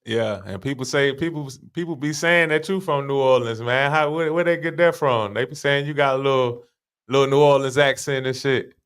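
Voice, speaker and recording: Smooth-voiced slangy podcast host. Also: male voice, mid-range voice, normal speed. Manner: relaxed, slangy, hype questions. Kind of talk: casual interview chat.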